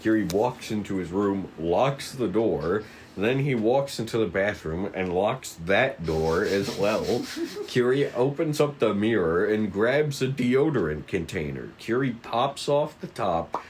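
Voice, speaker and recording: A man reads out stage directions in a low voice at a slow pace. He narrates haltingly, tripping on words at times, with faint noise in the background. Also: expressive, darkish tone. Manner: halting, often stumbling over the script. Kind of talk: reading script stage directions at a table read.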